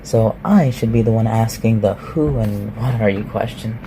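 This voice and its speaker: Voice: medium pitch, moderate pace, background noise, close to an everyday voice. A performer in an ASMR roleplay voicing an ancient, reclusive shapeshifter, haughty and awkward, with formal, archaic phrasing. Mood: awkward, indignant. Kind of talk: ASMR roleplay, scripted one-sided dialogue.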